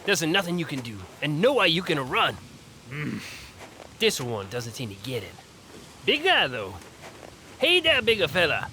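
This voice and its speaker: An actor playing a cocky, smarmy robed mage who threatens and mocks travellers in a fantasy audio drama. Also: male voice, fairly high pitch, huge pitch swings, measured pace, long pauses, sharp tone, slight background noise, exaggerated voice. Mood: taunting, smug. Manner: smarmy, mocking, swaggering. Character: cocky, taunting male robed mage bandit.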